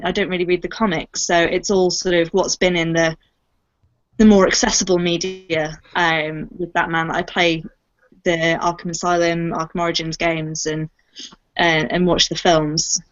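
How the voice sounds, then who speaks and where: A woman's voice: lowish voice, little pitch movement, moderate pace, muffled recording. A young woman chatting casually about her fandom as a cast member on a panel. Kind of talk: casual fan chat.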